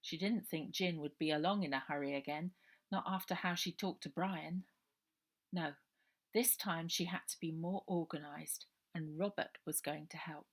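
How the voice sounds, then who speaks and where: Female storyteller for middle-grade fiction, lowish pitch with a creaky edge. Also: normal speed. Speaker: author reading own novel aloud. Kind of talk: children's story narration, unedited.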